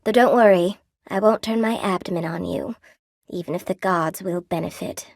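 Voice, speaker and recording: A woman's voice: fairly low pitch, moderate pace, darkish tone, no echo, clean studio sound. An actress voicing a friendly, wry spider weaver woman in a video game, chatty and coy, with a folksy, lightly theatrical delivery. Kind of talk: video game character dialogue. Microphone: close dry studio microphone.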